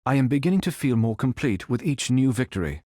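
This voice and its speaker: A man playing a calm, noble android superhero in a video game, speaking in formal, precise, stilted robotic phrases. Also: medium pitch, brisk, no echo, clean studio sound. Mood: measured, composed. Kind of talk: video game character voice lines.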